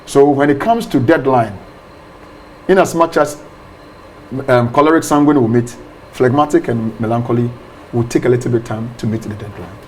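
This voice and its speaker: Animated pastor explaining. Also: male voice, medium pitch, normal speed, pauses often, slight background noise, low-quality recording. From Ghana. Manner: animated, repeats words, acts out examples. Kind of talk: explanatory interview talk.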